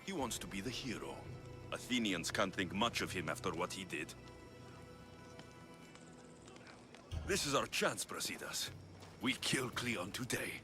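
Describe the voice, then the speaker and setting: A blunt young Greek warrior. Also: male voice, sits low, animated, normal speed, over music. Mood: earnest. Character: weary, determined young Greek mercenary warrior. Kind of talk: cinematic game acting.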